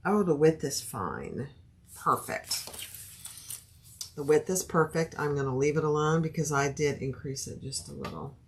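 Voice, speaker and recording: Soothing, unhurried woman sewing live, chatting with viewers as she works, background noise. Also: sits low, expressive. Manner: chatty, thinks aloud while working; talks to live viewers by name. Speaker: sewing instructor. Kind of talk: live-stream sewing tutorial.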